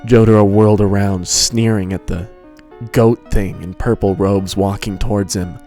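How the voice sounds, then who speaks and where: Low-voiced man narrating a story. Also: fairly even intonation, normal speed, warm tone. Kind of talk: scripted fan fiction narration. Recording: music behind the voice.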